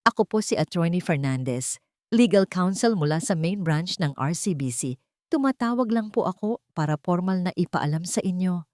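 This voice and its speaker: A woman voicing a calm, formal attorney on a business call, polite and measured, in an audiobook drama. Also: lowish voice, no echo, studio quality, close to an everyday voice.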